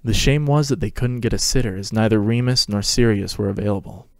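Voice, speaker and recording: Low-voiced man reading a story aloud. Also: fairly quick, creaky, no echo, clean studio sound. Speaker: narrator and host of a fan fiction reading show.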